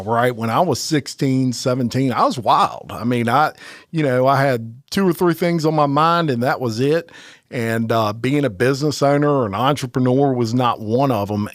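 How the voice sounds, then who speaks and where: Podcast host guy, middle pitch, dry close sound, lots of quick yeahs. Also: no room sound. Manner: chatty, frequent short affirmations. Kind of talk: casual interview.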